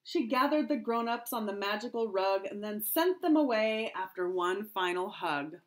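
A middle-aged woman, a kindergarten teacher, reading a rhyming picture book aloud to children in an expressive, sing-song storytelling way. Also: medium pitch, moderate pace. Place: small home room indoors.

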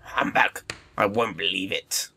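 A man playing an agitated, breathy older miser in an audio play, theatrical in delivery. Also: low voice, unhurried, noisy background, exaggerated voice. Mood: scornful disbelief. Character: miserly older man, frightened yet scornful. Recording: loud music behind the voice.

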